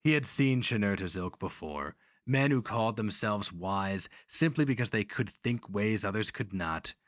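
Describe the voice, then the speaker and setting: A man's voice: on the low side, animated, normal speed, a bit bright, no room sound, studio quality. A man giving a grave, theatrical reading of literary fantasy as the story's narrator.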